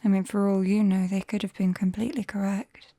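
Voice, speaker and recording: A British woman playing a gentle, shy, caring forest witch in a soft, breathy ASMR whisper, close to a binaural microphone. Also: medium pitch, level tone, no echo, natural voice. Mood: wary, apologetic. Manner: slow, gentle, hesitant. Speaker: ASMR roleplay performer.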